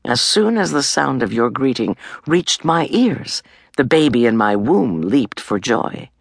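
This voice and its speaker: Casting: a woman as devout older mother-to-be in a scripture audiobook, joyful, emphatic, lively intonation. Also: a bass voice, measured pace, gravelly, slightly rough, no room sound, clean studio sound, exaggerated voice. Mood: joyful awe. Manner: exclaiming, fervent, emphatic.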